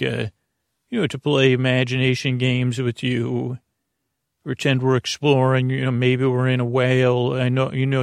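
A man hosting a sleep podcast in a rambling, whimsical bedtime monologue, halting and repeating words, reassuring and companionable, with a creaky voice. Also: medium pitch, dry recording, clean studio sound.

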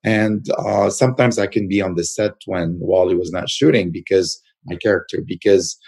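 A man's voice: low-pitched, fairly even intonation, moderate pace, vocal fry, a little mellow, husky. A man, an actor and producer, answering interview questions in a loose, searching way, repeating words and adding many fillers.

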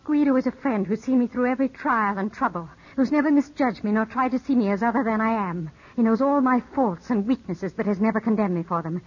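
An actress playing an elegant, composed widow, gently sorrowful and guarded, speaking with measured poise in 1950s radio drama style. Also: female voice, fairly high pitch, lightly acted. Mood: wounded dignity. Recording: dull, muffled 1950s radio broadcast.

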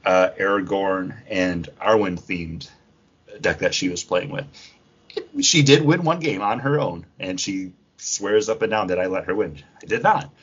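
A man co-hosting a podcast, casually running through news with a fan's enthusiasm and a husky voice. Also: low voice, a little slow. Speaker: podcast co-host. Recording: dull lo-fi recording.

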